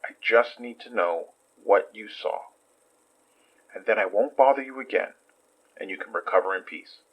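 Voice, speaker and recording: Audio drama investigator, male actor: earnest, patient but persistent, gently coaxing, a little muffled on the recording. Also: on the low side, normal speed, lightly acted. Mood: earnest, reassuring. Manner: coaxing, reassuring, careful. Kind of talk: audio horror drama acting.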